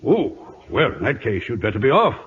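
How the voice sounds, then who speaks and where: An actor playing a gruff, blustery older British admiral with a husky voice, in old-time radio drama style. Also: male voice, mid-range voice, big pitch swings, normal speed, lightly acted. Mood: gruff, fond. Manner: blustering, emphatic. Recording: muffled old radio broadcast, reverberant.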